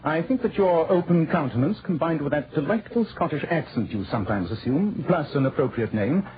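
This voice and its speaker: An actor playing a brisk, wry English gentleman detective with a crisp, formal manner, in 1940s radio style. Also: on the high side, animated, close to an everyday voice. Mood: brisk, authoritative. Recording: muffled old radio recording, crowd-like murmur.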